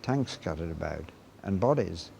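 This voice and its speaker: An elderly man, a war veteran, reminisces in an interview in a halting, understated way. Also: deep voice, animated, gravelly, a little mellow, slight background noise. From Britain. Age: 80s or older.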